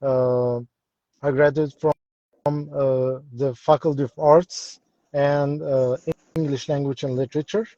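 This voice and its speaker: Slow, mellow Egyptian-accented man. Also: mid-range voice, flat delivery, no echo. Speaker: guest, English instructor. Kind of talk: casual interview about himself. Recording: dull, dry live-stream audio.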